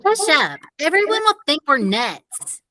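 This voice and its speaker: Bossy teen head cheerleader played by a woman, higher-pitched, very expressive, exasperated audiobook scolding. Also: unhurried, dry recording, heavily acted. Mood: angry, scolding. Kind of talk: audiobook character dialogue.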